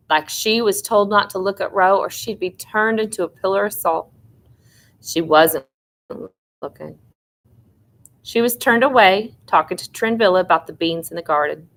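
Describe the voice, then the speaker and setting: A woman's voice: on the low side, fairly bright tone. A woman teacher reading a novel aloud expressively, with informal asides to her students.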